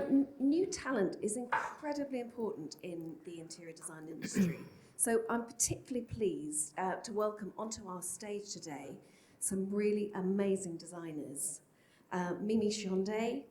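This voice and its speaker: A woman's voice: mid-range voice, normal speed, warm tone. A woman, hosting the event, opening with polite welcomes and housekeeping. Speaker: event host. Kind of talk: opening announcement. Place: event hall. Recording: roomy hall sound, faint noise.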